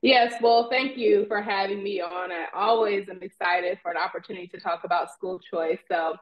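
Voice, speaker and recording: A woman's voice: medium pitch, steady tone, moderate pace. A woman in her forties from Indiana, a psychology professor, giving a fluent, organized account of her work in an interview over a video call. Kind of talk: interview answer. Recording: muffled video call audio.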